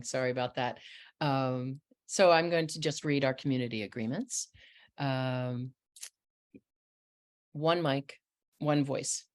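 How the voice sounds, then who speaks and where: A woman moderating a panel discussion over a video call, reading agreements and introducing guests with small apologetic asides. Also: low voice.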